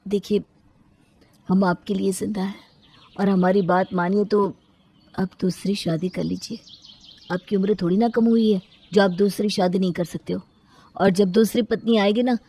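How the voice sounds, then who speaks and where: A woman voicing a concerned younger brother in a storybook tale, earnest and gently coaxing. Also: fairly even intonation, normal speed, slightly rough. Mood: gently persuading. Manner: earnest, coaxing, low-set voice.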